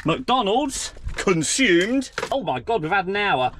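Excitable trucker vlogging from his cab. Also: high voice. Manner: chatty, excitable, quick exclamations. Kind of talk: casual vlog commentary. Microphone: handheld action camera. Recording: engine and road noise behind.

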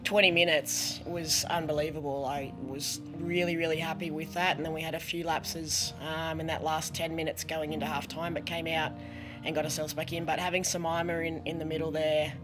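Middle-aged Australian woman coach, slightly hoarse, speaking earnestly at a post-match press conference. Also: deep voice, flat delivery, music in the background, low-quality recording. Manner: earnest, halting with many ums, a little hoarse. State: losing her voice. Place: press conference room with sponsor backdrop. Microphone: desk microphone.

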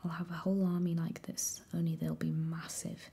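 A woman's voice: sits low, level tone, normal speed, vocal fry, warm tone. A woman voicing a smug, bossy boy with a Cockney accent, whispering his lines in a condescending way. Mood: disdainful. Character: surly boy with pudgy face, smug and bossy.

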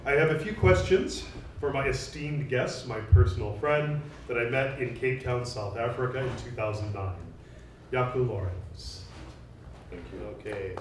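A man's voice: medium pitch, unhurried, a little mellow, slight background noise. A middle-aged man hosting an interview, reading courteous, formal questions from cards. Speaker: interviewer and host. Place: large echoey room, seated on stage. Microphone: handheld mic. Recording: roomy hall sound, mic picked up from a distance.

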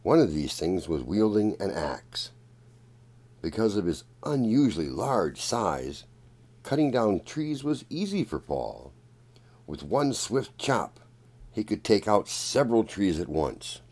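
A man reading a children's story aloud in a deliberate, expressive storytelling manner. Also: lowish voice, slightly rough. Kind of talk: read-aloud storybook narration.

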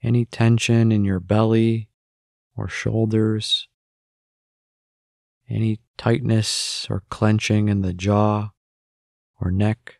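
A man narrating a guided meditation, giving gentle, unhurried instructions with long pauses between phrases. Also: on the low side, little pitch movement, creaky, no echo, clean studio sound.

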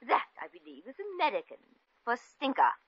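A woman's voice: fairly high pitch, big pitch swings, normal speed, husky, no echo, full character voice. An actress playing a haughty, jealous upper-class British woman with a cutting, theatrical manner in a 1950s radio comedy. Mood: icy, sarcastic. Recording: dull, lo-fi old radio recording.

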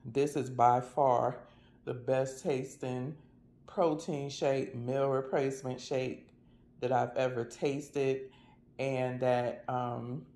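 A man's voice: mid-range voice, level tone, slow, darkish tone. A man with an American accent chatting casually in a folksy, colloquial way, as a vlogger reviewing products.

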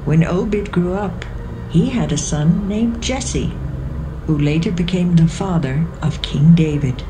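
A woman narrating scripture from a script in a measured storytelling manner. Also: fairly low pitch, low-quality recording. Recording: music behind the voice.

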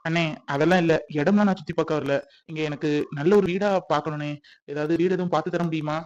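A man's voice: a little high, fairly even intonation, fast, darkish tone, close to an everyday voice. A young adult man playing a protective young man who soothes his partner and keeps checking that she agrees. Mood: polite, pleading. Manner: soothing, insistent, repeats "okay?". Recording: dull lo-fi audio.

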